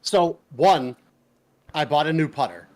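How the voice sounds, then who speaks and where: A man's voice: fairly high pitch, lively intonation, a little slow, rough recording. A man co-hosting a gaming podcast, joking casually with heavy swearing and slang. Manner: joking, heavily profane, slangy. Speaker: podcast co-host. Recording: clear background noise, room ambience.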